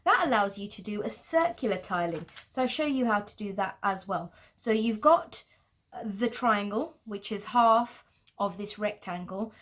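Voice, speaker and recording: A woman's voice: medium pitch, animated, normal speed, fairly bright tone. A woman with a Pakistani English accent, patiently explaining geometric drawing in a tutorial. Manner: patient, explanatory, with light laughs. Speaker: art teacher.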